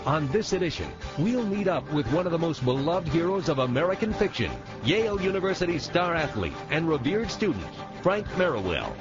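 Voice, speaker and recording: A man's voice: on the high side, big pitch swings, fairly quick, few pauses, highs cut off. A man hosting a radio show with scripted promotional narration. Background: music.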